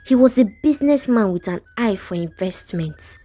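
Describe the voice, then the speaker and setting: A woman's voice: medium pitch, animated, normal speed, warm tone, soft background music. A woman with a Nigerian accent narrating a scripted folktale as a storyteller.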